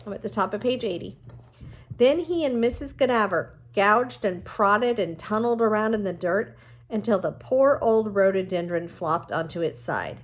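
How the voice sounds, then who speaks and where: A woman reading a novel aloud like a teacher, in an expressive storytelling manner with explanatory asides. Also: mid-range voice, normal speed.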